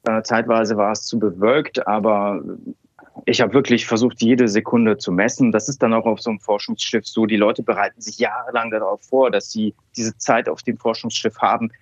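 A man's voice: fairly low pitch, fairly even intonation, normal speed, dry recording, dull audio. A man from Cologne, a scientist, telling stories in an interview with many fillers and restarts. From Cologne, Germany. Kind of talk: relaxed conversational interview.

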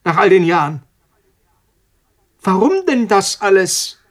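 An actor in a radio drama playing a cornered male scientist, agitated and halting, repeating himself as he panics and pleads. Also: high voice, sing-song, full character voice. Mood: bewildered, indignant. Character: cornered, panicking male molecular biologist pleading with his tormentor.

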